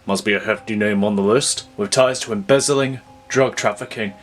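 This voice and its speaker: A man's voice: moderate pace, natural voice. Man doing a grim terse archer vigilante. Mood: stern. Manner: low, clipped and stern.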